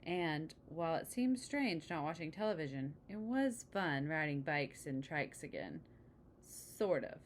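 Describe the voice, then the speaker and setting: Female storybook reader, slightly low register, chatty with young listeners, faint noise on the recording. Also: big pitch swings, unhurried. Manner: engaging, asks the listener questions. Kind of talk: read-aloud of a children's picture book with chatty asides to the listener.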